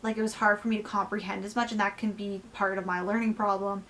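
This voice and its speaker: A woman's voice: medium pitch, little pitch movement, quick pace, fairly bright tone, a little hiss or hum. Chatty young woman with a fading voice. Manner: chatty, lots of "like" and "um". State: losing her voice. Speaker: book reviewer. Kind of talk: casual book review vlog.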